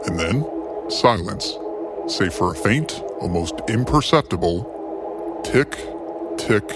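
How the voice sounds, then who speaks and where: A man narrating a scripted story in a measured, deliberate, dramatic way. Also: fairly low pitch, animated, over music.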